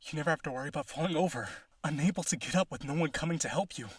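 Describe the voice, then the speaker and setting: A man's voice: a little high, rapid-fire, breathy edge, no echo, clean studio sound. A man playing a rich, devoted vampire aristocrat in a roleplay audio, earnest and pleading, with gushing, hesitant speech. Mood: devoted, coaxing.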